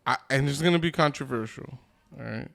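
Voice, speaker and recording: A man's voice: medium pitch, fairly quick, gravelly, fairly bright tone. A man hosting a podcast in casual, opinionated chat, emphatic and slangy, often punctuating points with a checking "okay?". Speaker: podcast host.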